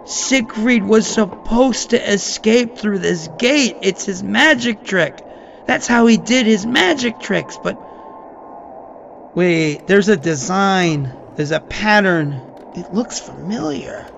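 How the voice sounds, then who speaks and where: Man playing an anxious, high-voiced, halting man. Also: lively intonation, vocal fry, fairly bright tone, highs cut off, slight background noise, exaggerated voice. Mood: urgent realisation. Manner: halting, nervous, conversational. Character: anxious, self-doubting ordinary man facing supernatural horror. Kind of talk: horror audio drama, recorded-message monologue.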